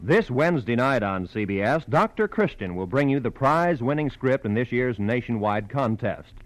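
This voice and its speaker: Animated 1940s male radio announcer. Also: mid-range voice, normal speed, slight background noise, low-quality recording.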